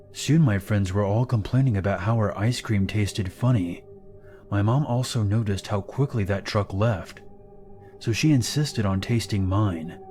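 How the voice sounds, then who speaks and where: A man narrates horror stories in a fairly low voice, keeping a calm, steady pace. Clear background music runs under his narration. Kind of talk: scripted horror story narration.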